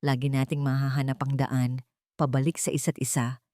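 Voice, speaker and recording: A woman's voice: a bass voice, flat delivery, a little fast, warm tone, dry recording, clean studio sound, voice pushed down. A woman with a Filipino accent voicing an earnest, forgiving younger man in love in a romance story. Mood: tender proposal.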